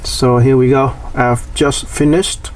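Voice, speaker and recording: A man's voice: mid-range voice, level tone, slow. Guy doing a casual diy walkthrough, plain short phrases, bit far from the mic, some background noise. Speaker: DIY tutorial host. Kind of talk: casual hands-on demonstration narration.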